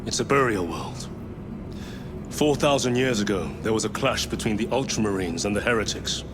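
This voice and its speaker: Man as an earnest, low-voiced space sergeant. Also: over music, lightly acted. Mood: earnest explaining. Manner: measured, thoughtful, serious. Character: earnest, learned male space-soldier sergeant. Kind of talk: dramatic game cutscene acting.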